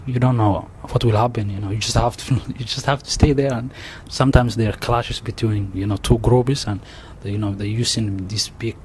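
Somali guy in an interview, lowish voice, stop-start talk, bit of hiss behind. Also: steady tone. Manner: halting, many restarts and "you know" fillers. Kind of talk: oral history interview. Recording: faint background noise.

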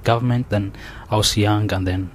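A low-pitched man with a Somali accent speaks haltingly, stopping to restart and filling gaps with "you know." Faint noise sits under the recording. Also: fairly even intonation. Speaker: interviewee. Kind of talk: oral history interview.

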